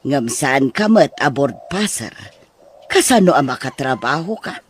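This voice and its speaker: A woman playing a stern middle-aged mother in an Ilocano audio drama, with a husky voice and an Ilocano accent. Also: rumbling low, expressive, measured pace, a little hiss or hum. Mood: admonishing. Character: stern, admonishing mother of grown daughters.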